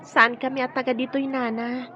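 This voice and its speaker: A woman's voice: high-pitched, little pitch movement, moderate pace, bright, forceful tone, slight background noise. An actress playing a humble, sorrowful orphaned young woman, meek and respectful, with an Ilocano accent in radio drama style. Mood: sad, meek. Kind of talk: emotional radio drama acting. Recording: dull radio sound, music and crowd.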